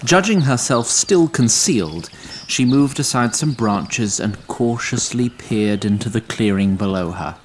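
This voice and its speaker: A man reading scripted fantasy narration in a measured, literary, dryly wry manner. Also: mid-range voice, expressive. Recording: faint ambient noise.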